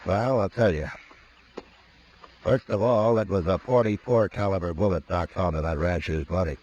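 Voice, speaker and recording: A very low, unhurried voice belongs to a steady frontier marshal, as an actor plays him in a 1950s radio drama. He talks in a calm, deliberate way and sounds sure of himself. The old broadcast sound is dull and carries a faint hiss. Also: close to an everyday voice. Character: steady, level-headed frontier marshal, grown man. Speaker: lawman lead and narrator.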